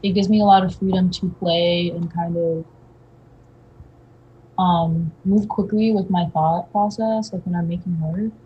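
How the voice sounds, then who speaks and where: A young woman, an art student, speaking casually and relaxed, with fillers. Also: fairly low pitch, a little slow. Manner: breathy, relaxed, filler-heavy. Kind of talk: casual conversation. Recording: dull lo-fi recording.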